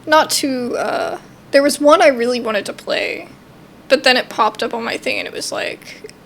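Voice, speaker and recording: A woman's voice: high-pitched, moderate pace, fairly bright tone, slight background noise. A young adult woman hosting a gaming video with casual, chatty commentary, thinking aloud in an informal way. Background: faint game music.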